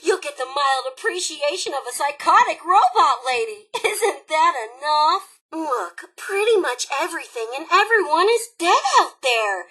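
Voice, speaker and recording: A woman's voice: sits high, lively intonation, unhurried, hardly any pauses, bright, forceful tone, heavily acted, rough recording. A woman voicing a psychotic, gleefully violent female robot raider in a video game, sardonic and flippant, with mock-sweet menace. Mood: bored, mocking. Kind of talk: video game character dialogue.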